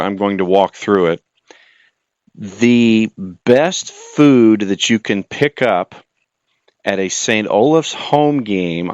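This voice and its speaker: A man's voice: fairly low pitch, clean tone, dry recording, dull audio. A man hosting a sports radio interview in a conversational, chummy style with polished announcer patter.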